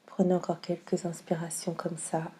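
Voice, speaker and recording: A woman guiding a yoga nidra meditation in a calm, soothing, unhurried way with long pauses. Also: on the low side. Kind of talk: slow guided meditation.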